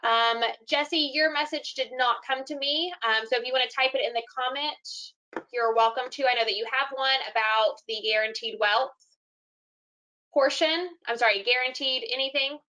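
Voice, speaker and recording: A woman's voice: high voice, normal speed, sharp tone, rough recording. A woman co-hosting a casual online meeting, giving updates and passing on questions as moderator.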